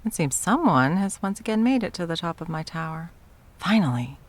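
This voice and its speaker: A woman's voice: lowish voice, lively intonation, fairly quick. A woman playing a haughty, sadistic sorceress tyrant in a fantasy audio roleplay, with a theatrical, mocking and condescending delivery. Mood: mocking delight. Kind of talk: scripted ASMR fantasy roleplay.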